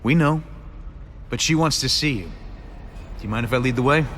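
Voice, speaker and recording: Audio drama go-between, male actor: middle-aged, courteous, calm, mid pitch, reverberant space. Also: fairly quick, slight background noise, close to an everyday voice.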